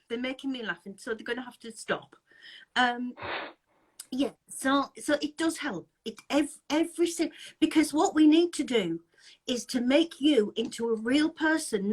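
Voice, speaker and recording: A woman's voice: high voice, a little slow, breathy edge, rough recording. A woman from England hosting a casual live-chat interview over a video call, chatty, laughing often and restarting her sentences. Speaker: interviewer, anti-scam group host.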